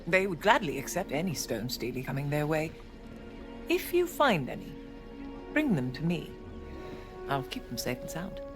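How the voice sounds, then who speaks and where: Shrewd museum patroness for game cutscenes: gracious, theatrical, very expressive intonation. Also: female voice, sits low, normal speed, pauses often, over music. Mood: gracious, earnest. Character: genteel, shrewd Egyptian dame and museum benefactor. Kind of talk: video game cutscene acting.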